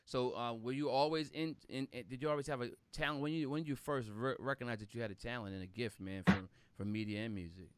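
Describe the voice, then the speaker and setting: A man with a medium-pitched voice hosts a casual podcast interview. He backs his guest with quick affirmations and asks easy follow-up questions. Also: steady tone, clear, resonant tone, no echo. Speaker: podcast host.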